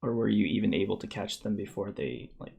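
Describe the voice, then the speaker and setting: A man's voice: fairly low pitch, fairly quick, gravelly, darkish tone, husky. A man hosting a podcast, introducing a guest and asking questions with hesitant fillers. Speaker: podcast host. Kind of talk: casual interview.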